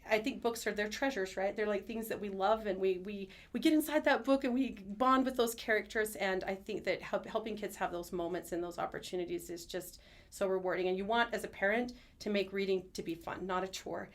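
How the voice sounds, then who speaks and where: A middle-aged woman speaks as a podcast guest in a conversational way. She is earnest and enthusiastic, with a medium pitch and a rapid, chatty flow. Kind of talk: conversational interview answers. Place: studio.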